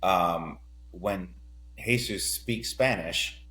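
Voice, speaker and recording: A man speaking as a podcast guest in a casual conversation, unhurried and hesitant, with many pauses and fillers. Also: low voice, pushed and bright, a little hiss or hum.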